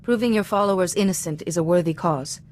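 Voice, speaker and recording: A woman performs a composed Jedi mentor from a video game, in a slightly low voice at a quick pace. She is principled and gently admonishing. Faint ambient noise runs underneath. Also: natural voice. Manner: composed, measured wording, advisory. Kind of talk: video game character dialogue.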